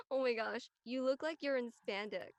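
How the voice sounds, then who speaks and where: A woman's voice: a little high, a little slow, no room sound. A woman playing a chirpy, curious and friendly teenage girl in a casual audio drama. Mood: amused. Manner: casual, inquisitive.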